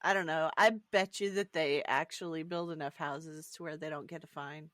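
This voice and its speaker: A woman's voice: sits low, steady tone, moderate pace, fairly bright tone, no room sound, studio quality. A woman co-hosting a podcast in casual, joking discussion with a playful manner. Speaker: podcast co-host.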